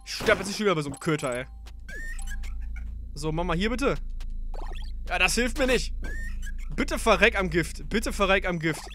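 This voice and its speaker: A man's voice: sits high, animated, normal speed, pauses often. A man commenting casually on his gameplay as a let's play host, slangy, swearing and easily annoyed, at home. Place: home gaming setup. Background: faint game music.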